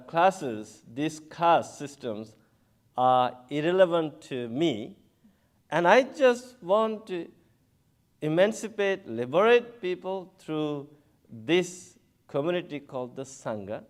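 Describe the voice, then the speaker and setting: A man who is a Buddhist monk from Bangladesh, giving an unhurried symposium talk with frequent chuckles and hesitations. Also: a little high, lively intonation. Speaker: Buddhist monk, panel speaker.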